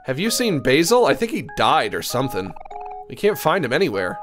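A man's voice: medium pitch, wildly swooping pitch, fairly quick, over music. A man giving casual live commentary on a video game and reading its text aloud, playfully whiny when let down.

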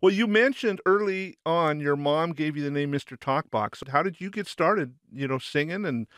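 A man's voice: a little high, expressive, normal speed, fairly bright tone, no room sound. Dry-sounding male podcast host. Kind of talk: casual interview chat.

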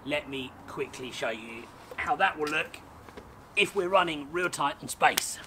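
A man's voice: on the high side, animated, measured pace. Chatty man filming outdoors. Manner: chatty, upbeat, explaining step by step. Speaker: sports photographer and vlogger. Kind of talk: casual gear walkthrough. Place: small back garden outdoors. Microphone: camera mic on a tripod, a few feet away. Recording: outdoor camera audio with noticeable background noise.

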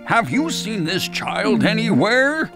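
A man's voice: sits high, sing-song, creaky, music in the background, heavily acted. A man voicing a worried, weary old father in a cartoon, anxiously searching for his son. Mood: anxious calling. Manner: slow, anxious calling. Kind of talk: animated cartoon acting.

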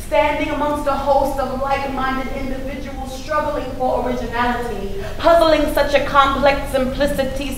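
A woman performing spoken-word poetry on stage with dramatic, expressive delivery. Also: high voice. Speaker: spoken-word poet and singer. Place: large meeting room before a seated audience. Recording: very echoey room, faint noise.

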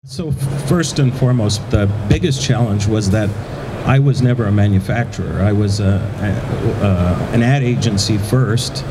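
A middle-aged man speaking conversationally as a panelist, with halting delivery, into a handheld microphone in a large exhibition hall. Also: on the low side. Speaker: panelist, print shop owner. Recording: noisy trade show floor, ventilation hum.

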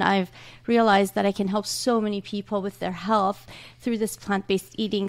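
Gravelly-toned Iranian-accented woman. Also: mid-range voice. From Iran. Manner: earnest, warm, laughs often. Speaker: guest doctor. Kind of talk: casual interview talk. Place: small home studio room. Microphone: large studio mic with headphones.

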